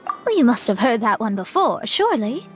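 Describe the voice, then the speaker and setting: An actress voicing a composed young woman adventurer who reasons aloud in a measured way. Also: fairly high pitch, big pitch swings. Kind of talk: game voice acting. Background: music.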